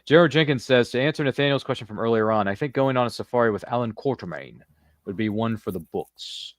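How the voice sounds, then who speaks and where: A man with a medium-pitched voice hosts a laid-back interview. He talks informally, like a buddy, and the recording is dry with little room sound. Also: expressive, fairly quick, no room sound. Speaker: podcast host. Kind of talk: casual interview chat, reading out viewer comments.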